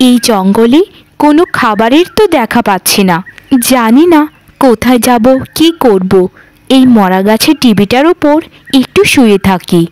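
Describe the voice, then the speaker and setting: A woman's voice: on the high side, lively intonation, normal speed, darkish tone, no room sound, lightly acted. A woman voicing a young daughter of a wealthy landlord in an earnest, emphatic cartoon style. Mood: weary. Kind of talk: dramatic cartoon voice acting.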